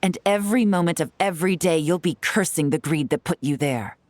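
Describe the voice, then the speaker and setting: An actress playing a cool, sardonic young female detective in a video game, composed, measured and wry. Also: lowish voice, darkish tone, no echo, close to an everyday voice. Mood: cold contempt. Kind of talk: dramatic game voice acting.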